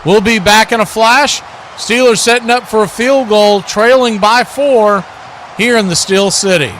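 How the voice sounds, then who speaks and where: A man's voice: sits high, unhurried, a bit bright, a little hiss or hum. A man calling live football play-by-play as a sportscaster.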